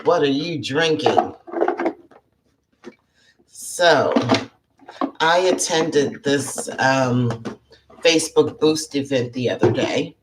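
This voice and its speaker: A woman's voice: rumbling low, slow, long pauses, noisy background. A woman hosting a casual live-stream chat as a psychic life coach, her voice froggy from allergies, chatty and greeting viewers by name.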